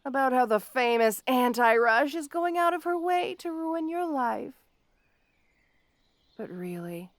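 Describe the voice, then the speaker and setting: Petty supervillainess, theatrical, teasing, mock-sweet, unhurried, close dry sound, audio roleplay monologue. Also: female voice, high-pitched, a bit bright, clear, resonant tone, dry recording, heavily acted. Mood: cold taunting. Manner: taunting, mock-sweet, drawn-out teasing delivery. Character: gloating, petty, obsessive female supervillain with super speed.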